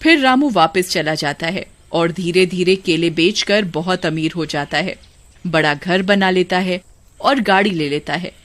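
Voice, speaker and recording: A woman's voice: fairly low pitch, unhurried. A woman narrating a scripted folk tale as a storyteller.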